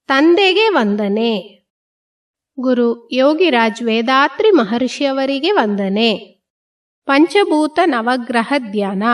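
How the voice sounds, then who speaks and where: Woman doing guided meditation, really slow n measured, kinda high voice, pauses between phrases.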